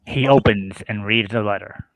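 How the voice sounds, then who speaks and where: A man's voice: fairly low pitch, normal speed, a bit bright, low-quality recording. A man narrating scenes and stage directions in an amateur script read-through.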